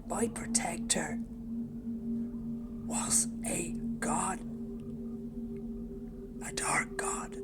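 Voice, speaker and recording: A woman voicing an embittered orphan turned devoted plague-god cultist in an audio drama, speaking intensely and often in a hushed whisper. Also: low voice. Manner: intense, brooding, slow and deliberate. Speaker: audio drama narrator-character. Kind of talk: first-person dramatic monologue. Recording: loud music score underneath. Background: loud music.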